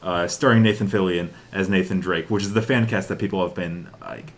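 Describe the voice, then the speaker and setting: A man's voice: deep voice, fairly even intonation, fairly quick. A man co-hosting a podcast, chatting casually and enthusiastically about games and film news, with strong opinions. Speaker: podcast co-host.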